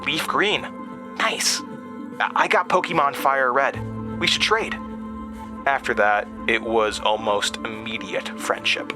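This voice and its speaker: An actor playing an anxious, haunted young man in an audio drama, speaking in a halting, nervous, stammering confessional monologue. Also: medium pitch, music in the background, natural voice. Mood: nostalgic, reflective. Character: anxious, haunted young Midwestern man recalling traumatic memories.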